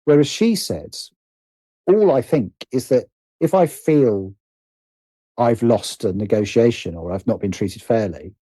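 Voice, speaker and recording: A man's voice: medium pitch, lively intonation, measured pace, dark tone, dry recording. A middle-aged British man speaking reflectively as an interview guest, often stopping to rephrase himself. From Britain. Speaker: guest, writer and producer.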